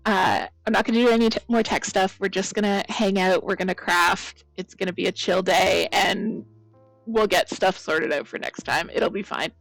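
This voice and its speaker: A woman chatting casually with viewers as the host of a crafting stream, her voice a bit croaky. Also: mid-range voice, moderate pace, no echo, soft background music, poor audio. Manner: chatty, rambling, greets viewers by name. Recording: loud blown-out mic.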